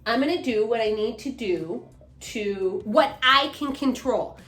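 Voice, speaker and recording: A woman's voice: a little high, a little slow, fairly bright tone. A woman in her fifties co-hosting a casual chat, rambling with many restarts and fillers. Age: 50s. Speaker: co-host, mother. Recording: echoey room, faint music.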